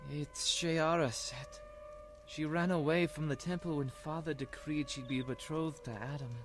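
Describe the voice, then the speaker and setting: A man's voice: fairly high pitch, fairly even intonation, a little slow, music in the background, lightly acted. A man playing a troubled, earnest teenage runaway prince in a desert audio drama. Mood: worried.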